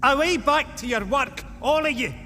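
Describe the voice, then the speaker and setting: A man's voice: very high-pitched, moderate pace, sharp tone, big-room sound, a little hiss or hum, exaggerated voice. A man voicing a brusque, scolding Scottish steward in an audio drama.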